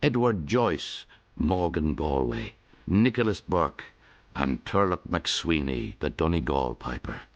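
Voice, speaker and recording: A man's voice: rumbling low, very animated, takes it slowly, dull audio. A man with an Irish accent narrating a story in the first person, with a wry, humorous delivery.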